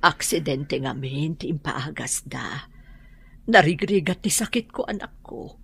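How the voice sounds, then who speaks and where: A lowish, husky female voice plays a sick, weary old mother in a radio drama. She sounds frail and wounded, halting as she speaks, her breathy words plaintive. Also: expressive, a bit bright, heavily acted. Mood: pained, resigned. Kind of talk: Ilocano radio drama acting.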